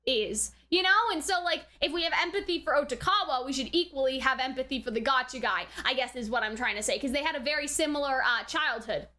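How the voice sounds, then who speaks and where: A woman's voice: sits high, quick pace, hardly any pauses, bright, forceful tone. A woman hosting a casual, chatty commentary and recap, often checking in with "right?". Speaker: host of an anime reaction and review channel.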